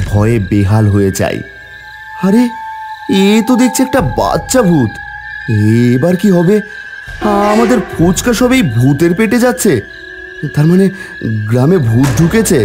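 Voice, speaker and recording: A man acting as a dramatic, expressive storyteller and village father in a horror cartoon. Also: medium pitch. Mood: worried, determined. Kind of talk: dramatic animated story narration and dialogue. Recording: music behind the voice.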